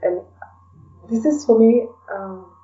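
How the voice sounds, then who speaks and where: A woman with a dark, mellow tone chats casually as a podcast guest. She sounds a little away from the microphone, in a dull lo-fi recording, and often tags her phrases with "you know". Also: fairly high pitch, lively intonation, slow, recorded from a distance, slight background noise. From Kenya. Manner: chatty, peppers talk with "you know". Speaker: interview guest. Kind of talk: casual conversational interview.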